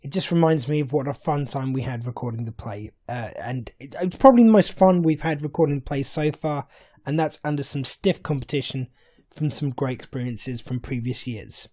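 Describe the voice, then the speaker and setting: A man's voice: mid-range voice, brisk, warm tone. A man hosting, casually introducing behind-the-scenes items. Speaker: host.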